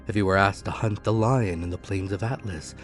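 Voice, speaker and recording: A man's voice: sits low, brisk. A man giving a dramatic scripted audiobook narration in the first person. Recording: echoey room, music behind. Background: music.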